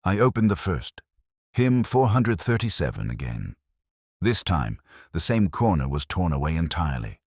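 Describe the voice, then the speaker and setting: A man narrating a scripted first-person detective story in a measured, reflective, literary manner. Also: low-pitched, big pitch swings, a little mellow, breathy edge, no room sound, clean studio sound.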